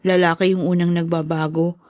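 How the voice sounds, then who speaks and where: A woman's voice: lowish voice, little pitch movement, fairly quick, darkish tone, no echo, close to an everyday voice. A woman acting a devoted, emotional young college girl, earnest in the manner of Tagalog radio drama. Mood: soft, tender. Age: young adult.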